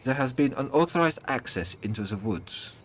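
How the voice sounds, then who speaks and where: A male reader takes on an ageless young woman warrior with a German accent. She talks in a measured, formal, guarded way in a fantasy audio story, with noticeable noise behind her. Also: mid-range voice, expressive, voice pushed up, close to an everyday voice. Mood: neutral. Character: young-looking German huntress, two hundred years old, guarded, neutral.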